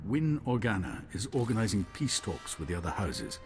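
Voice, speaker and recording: A man's voice: on the low side, huge pitch swings, normal speed, music in the background. An actor playing a courtly, gracious and grave nobleman in a video game, speaking formally and expressively. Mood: gracious, concerned. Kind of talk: video game cutscene acting.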